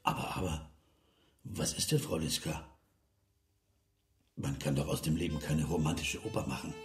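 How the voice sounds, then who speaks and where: An actor playing a cynical, melancholy, drinking doctor in a radio drama, sardonic and resigned, given to declaiming. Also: male voice, extremely low pitch, normal speed, reverberant, faint music behind. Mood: weary, soothing. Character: bitter, world-weary, drinking male doctor, cynical and melancholy.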